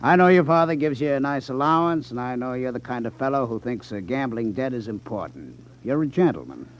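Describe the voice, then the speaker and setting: A man's voice: medium pitch, lively intonation, a little hiss or hum, close to an everyday voice. Man acting a sly, swooping gambling racket boss. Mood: falsely friendly. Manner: smooth false friendliness, sarcastic, slow deliberate emphasis. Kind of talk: 1950s radio drama acting. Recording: dull 1950s radio broadcast recording.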